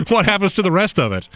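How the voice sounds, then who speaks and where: A man hosting a radio interview, asking chatty, halting questions full of restarts and joking asides. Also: high voice, a bit bright, no echo.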